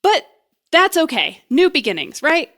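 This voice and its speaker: A woman's voice: high-pitched, big pitch swings, measured pace, a bit bright, full character voice. A woman playing a nervous, jokey young woman who rambles and puts herself down as she bluffs through an interview in an audio drama. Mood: awkward, wry. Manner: rambling, self-deprecating, flustered. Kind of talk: audio drama acting, conversational comedy.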